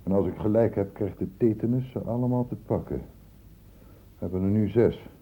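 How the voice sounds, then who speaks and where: An actor in a Dutch radio play voicing an earnest, insistent doctor who questions in a measured, formal way. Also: male voice, lowish voice, dark tone, husky. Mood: measured, reasoning. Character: earnest, insistent male doctor investigating an infection outbreak. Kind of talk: Dutch radio drama acting. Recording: muffled phone-like old radio recording.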